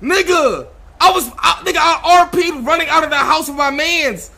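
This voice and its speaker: A man's voice: very high voice, normal speed, vocal fry, pushed and bright. A man streaming a roleplay game, giving heated, slangy commentary in an exasperated, repetitive rant.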